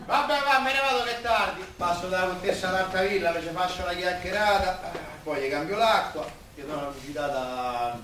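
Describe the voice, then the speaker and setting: Echoey, high-pitched Roman working man played by an actor. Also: expressive, fairly quick, hardly any pauses, sharp tone. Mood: droll, chatty. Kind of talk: stage-like radio drama acting in dialect. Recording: distant, echoey room, noisy live recording.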